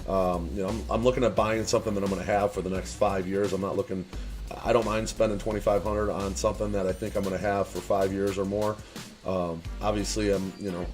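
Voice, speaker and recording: A young man from the Chicago area chats to camera in a fairly low voice. He rambles, restarts his sentences and fills gaps with "uh" and "you know". Faint music plays behind him. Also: darkish tone. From Chicago area, USA. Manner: rambling, many fillers and restarts, asks viewers for advice. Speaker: vlogger and online business owner. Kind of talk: casual talk to camera.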